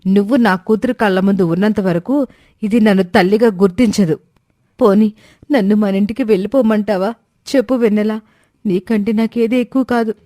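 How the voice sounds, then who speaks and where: A possessive young mother from a family moral story, acted by a woman. Her voice is mellow and medium-pitched, recorded dry. She speaks emotionally and stubbornly, with fearful, pleading insistence. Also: normal speed, dry recording, close to an everyday voice. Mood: hurt, insistent.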